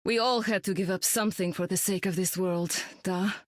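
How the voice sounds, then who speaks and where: A woman voicing a weary, sorrowful underworld ruler in a video game, with a heavy, deliberate manner. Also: medium pitch, fairly even intonation, dry recording, clean studio sound, close to an everyday voice. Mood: grieving. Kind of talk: video game character voice lines.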